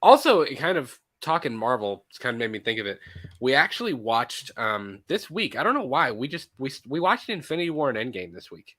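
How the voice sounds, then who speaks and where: A man co-hosting a casual movie podcast, a little stuffy from allergies, animated and quick to laugh, often restarting his sentences. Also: medium pitch. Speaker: podcast co-host. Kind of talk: casual chat.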